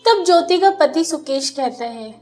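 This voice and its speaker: High-pitched woman narrating a story. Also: expressive, normal speed, a little mellow, faint music behind. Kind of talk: scripted narration of a moral family story.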